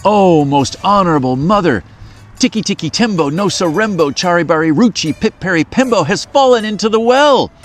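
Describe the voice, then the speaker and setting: A man's voice: high voice, lively intonation, moderate pace, pitched above the performer's own voice. A man voicing a small, earnest boy who is out of breath and panting, reading a storybook aloud. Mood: frantic.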